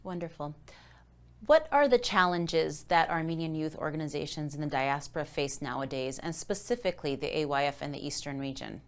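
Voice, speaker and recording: A woman's voice: low voice, brisk, clean tone. A woman hosting a news interview, putting formal, prepared questions to a guest. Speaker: interviewer, news program host.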